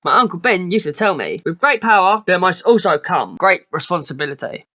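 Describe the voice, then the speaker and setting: A woman voicing a wry, reassuring young male superhero mentor with a measured manner in a children's storybook. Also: lowish voice, big pitch swings, pushed and bright, pitched below the performer's own voice.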